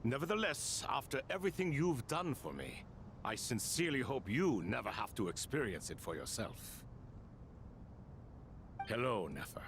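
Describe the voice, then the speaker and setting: A courteous, ancient spirit lord from a video game. His medium-pitched voice is creaky and swings widely as he reasons. Faint noise sits behind him. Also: big pitch swings, moderate pace. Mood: grateful. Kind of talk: scripted video game voice acting.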